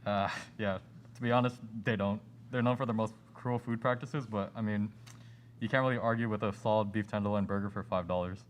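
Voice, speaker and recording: A young man plays an easygoing, candid student in an amateur radio play. His voice is on the low side, with faint noise behind it. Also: fairly even intonation, fairly quick, lightly acted. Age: young adult. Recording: slight background noise.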